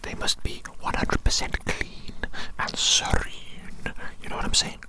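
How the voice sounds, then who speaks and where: A performer voicing a creepy evil doctor in a whispered ASMR medical roleplay, breathy and theatrical, slowly repeating phrases and coaxing with pet names. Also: lightly acted. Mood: sinister, fussy. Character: creepy evil doctor, reformed man-eater, fussily obsessed with clean ears.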